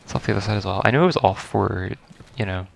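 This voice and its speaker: A man's voice: lowish voice, quick pace, gravelly. A man giving casual first-reaction commentary on a video game, rambling and thinking aloud as he goes. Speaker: gaming video host.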